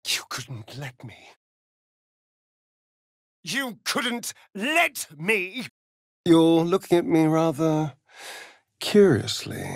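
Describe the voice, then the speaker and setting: An actor playing a sly, vain vampire rogue in a video game, with a theatrical, arch, drawling delivery. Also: male voice, fairly high pitch, dry recording, studio quality, heavily acted. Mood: anguished, angry.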